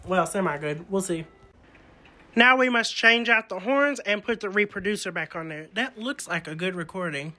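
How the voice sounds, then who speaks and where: A man's voice: high-pitched, moderate pace, sharp tone. A man presenting an informal, hands-on demonstration of antique recording equipment, explaining each step as he goes.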